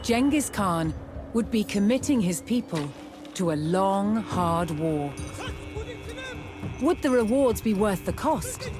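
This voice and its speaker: A woman delivering scripted documentary narration in a measured, dramatic storytelling manner. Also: medium pitch, big pitch swings. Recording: music under the voice. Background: background music.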